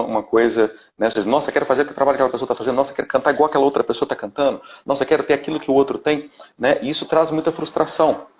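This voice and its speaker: A man's voice: mid-range voice, a little mellow. A Brazilian man in his thirties, a vocal coach, talking in a casual live interview with many restarts and tag questions over a video call. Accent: Brazilian Portuguese. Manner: rambling, frequent "né?" tags and restarts. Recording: dull lo-fi live-stream audio.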